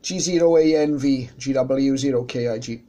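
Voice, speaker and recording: Mellow man chatting as a radio ham. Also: medium pitch, moderate pace, a little hiss or hum. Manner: conversational, explanatory. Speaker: amateur radio operator. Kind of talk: casual radio contact chat. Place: indoors beside radio equipment.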